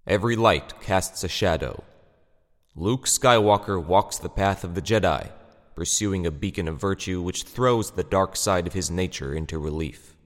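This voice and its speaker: A man's voice: low-pitched, moderate pace. A man reading dramatic scripted narration for an audio drama. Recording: long reverb over music.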